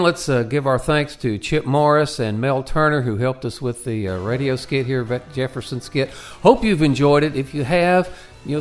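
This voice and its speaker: Mid-pitched man co-hosting a radio program. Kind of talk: casual promotional chat.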